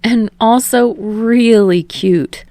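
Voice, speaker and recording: A cheerful, teasing young man, played by a woman narrator in an audio story. He talks in a placating, playful way with some creak in the voice, recorded very dry. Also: fairly high pitch, extremely slow, dark tone, no room sound, pitched above the performer's own voice. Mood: fond teasing. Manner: soothing, playful.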